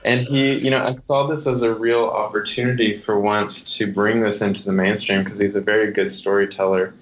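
A man speaking as a guest author in an interview, answering conversationally in a thoughtful way with hesitant pauses. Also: lowish voice, little pitch movement, slight background noise. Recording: dull lo-fi sound.

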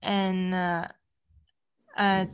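Cantonese-native woman from Hong Kong, halting short answers, slow delivery. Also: mid-range voice, little pitch movement, clear, resonant tone, dry recording, studio quality. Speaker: podcast guest with aphasia.

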